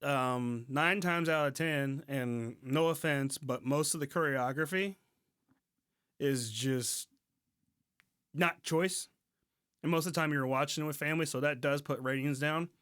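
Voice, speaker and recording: A man co-hosting a podcast, chatting informally in a halting, conversational way. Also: on the high side, fairly bright tone, clear, resonant tone, dry recording, clean studio sound. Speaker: podcast co-host. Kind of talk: casual podcast chat. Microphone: close podcast mic on remote recording.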